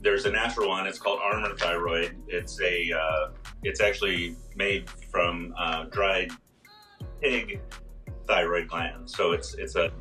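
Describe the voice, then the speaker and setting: A hoarse man in his fifties, a pharmacist explaining health topics on a video call, step by step with many fillers. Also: low voice, fairly even intonation, a little slow, bright, forceful tone, recorded from a distance, soft background music. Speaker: pharmacist guest on a radio show. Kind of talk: casual health talk. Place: small room indoors.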